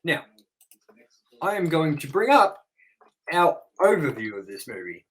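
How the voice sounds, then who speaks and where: A man's voice: fairly high pitch, sing-song, unhurried, poor audio. Swearing, joking man hosting. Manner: crude, joking banter with frequent swearing. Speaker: podcast host. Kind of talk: casual co-hosted review podcast.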